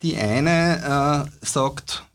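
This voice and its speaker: A man with a gravelly, husky voice explaining ideas as a guest in a discussion. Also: fairly high pitch, very slow, fairly bright tone.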